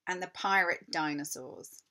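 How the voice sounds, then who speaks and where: A woman narrating a children's story in an expressive, deliberate, dramatic storytelling manner. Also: fairly low pitch. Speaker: storybook reader.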